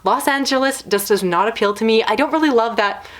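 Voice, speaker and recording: A woman's voice: a little high, fairly quick. A woman reviewing books in a casual video style, talking in long, considered explanations. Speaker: book reviewer.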